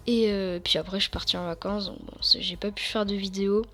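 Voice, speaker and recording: Hesitant, slightly hoarse teenage girl. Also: medium pitch, fairly even intonation, fairly quick, a bit bright. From France. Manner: rambling, hesitant, many fillers and restarts. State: a bit hoarse. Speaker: tutorial YouTuber. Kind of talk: casual screen-recorded tutorial.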